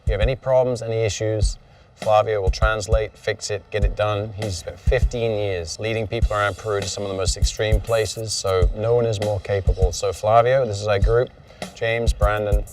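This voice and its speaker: A man's voice: on the low side, steady tone, normal speed. Upbeat man leading a motorcycle tour. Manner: upbeat, encouraging, direct. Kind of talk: briefing a riding group. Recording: distant mic, faint music behind.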